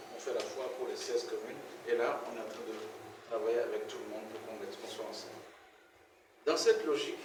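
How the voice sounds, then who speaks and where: A man with a Beninese French accent speaking publicly as an organiser at a press conference. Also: mid-range voice. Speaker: event organiser and official. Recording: echoey noisy room, far from mic.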